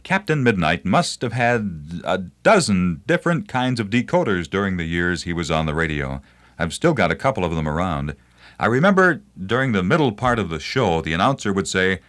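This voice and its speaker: Lively, low-voiced man presenting nostalgically. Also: moderate pace. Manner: wry, nostalgic. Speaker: presenter of a nostalgia radio promo. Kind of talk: scripted promotional narration.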